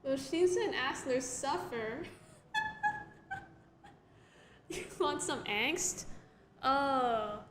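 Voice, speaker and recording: A woman's voice: high-pitched, expressive, very slow, pauses often, a bit bright, slight background noise. A young woman, a comic artist, chatting casually on a livestream while she draws, reading out viewer questions and laughing often in a self-deprecating way. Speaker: webcomic artist answering chat questions. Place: home room while drawing. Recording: echoey room with music behind.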